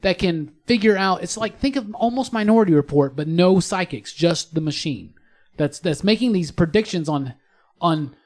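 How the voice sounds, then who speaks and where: A man's voice: high-pitched, lively intonation, moderate pace, a little mellow. A man co-hosting a podcast in casual pop culture chat, talking with a fan's enthusiasm and emphatic words. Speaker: podcast co-host.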